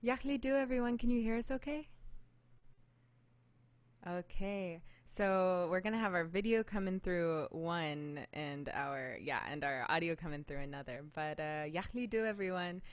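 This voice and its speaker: A woman's voice: fairly low pitch, lively intonation, normal speed, no room sound. A woman in her twenties from Alaska, a singer casually introducing a live set into a close mic in a living room. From Anchorage, Alaska. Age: 20s. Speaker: guest singer. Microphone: studio mic on a boom arm, close.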